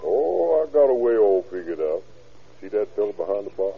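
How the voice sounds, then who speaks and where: An actor playing a restless, stubborn young soldier with rustic speech, husky, in a classic radio drama. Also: male voice, lowish voice, big pitch swings, moderate pace, exaggerated voice. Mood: defiant, boastful. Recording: thin, phone-like old radio recording.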